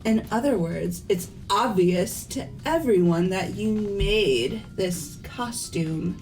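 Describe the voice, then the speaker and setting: A woman's voice: mid-range voice, lively intonation, slow pace, darkish tone, low-quality recording. A woman voicing a blunt, accusing, headstrong teenage girl in a fan-voiced visual novel. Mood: suspicious. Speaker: voice actor in fan game playthrough. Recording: music under the voice.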